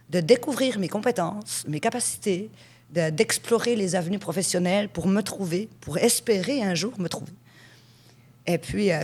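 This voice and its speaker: Tell it like you're chatting with a friend: you're a woman in her forties, born in Switzerland, speaking from the lower end of your range and keeping the story frank and lively. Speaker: guest, hypnotherapist. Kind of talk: conversational interview.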